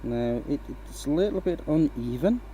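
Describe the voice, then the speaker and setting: A man's voice: fairly high pitch, moderate pace, a little hiss or hum. A man from Denmark with a light, mixed accent hosts a woodturning live stream and talks casually through each step as he works. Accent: light Danish, mostly a mix of English accents picked up online. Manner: relaxed, explains as he works, asks "right?" often. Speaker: woodturning livestream host. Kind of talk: casual live-stream talk while working.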